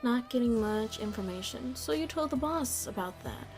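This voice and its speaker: Casting: actress as shrewd, proud mob headliner, female, higher voice, haughty and taunting, ASMR roleplay drama, music underneath. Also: animated, normal speed, darkish tone. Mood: scornful. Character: shrewd proud nightclub headliner and businesswoman in the mafia.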